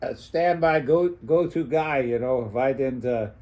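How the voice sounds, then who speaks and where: An older man with an American accent reminiscing casually as a former club owner, in a halting, rambling way with frequent chuckles. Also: medium pitch, mellow.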